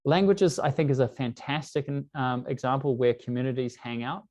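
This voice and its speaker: A man's voice: mid-range voice, normal speed, darkish tone. A man from New Zealand with a New Zealand English accent, presenting an online workshop in a conversational way, often saying "you know". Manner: conversational, uses many fillers like "you know". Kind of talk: online business workshop talk. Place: lives in London.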